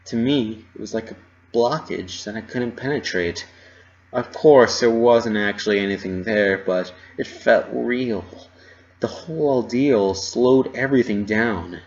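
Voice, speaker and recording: Low-voiced man reading a dramatic story. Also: measured pace, lo-fi sound. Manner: expressive, dramatic delivery. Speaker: reader of fan fiction. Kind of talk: dramatic first-person story narration.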